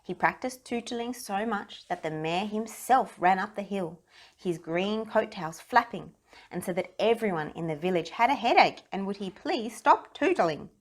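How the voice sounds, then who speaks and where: A woman narrating a children's storybook aloud, expressively and with deliberate emphasis on key phrases. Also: mid-range voice, animated.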